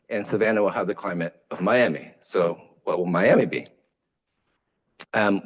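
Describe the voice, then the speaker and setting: A man whose first language is Vietnamese, an architect giving a lecture in a hesitant way, with many fillers and restarts. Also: fairly low pitch. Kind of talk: academic lecture.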